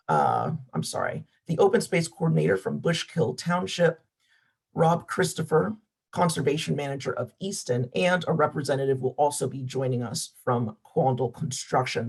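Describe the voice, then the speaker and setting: Hoarse, gravelly woman organizer. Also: rumbling low, darkish tone. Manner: polite, apologetic, fillers. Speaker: event organizer. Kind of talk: webinar announcement.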